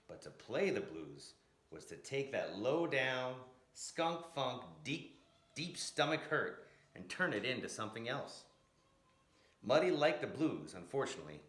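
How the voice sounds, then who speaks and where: Man, picture-book storyteller, highly animated intonation, dramatic emphasis, echoey room. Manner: dramatic, emphatic delivery with drawn-out words. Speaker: storyteller, blues educator. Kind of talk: expressive picture-book read-aloud.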